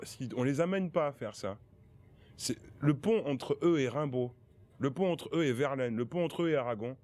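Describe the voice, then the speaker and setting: A man speaks in an interview with passionate, emphatic phrasing. His voice sits in a middle pitch. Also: darkish tone.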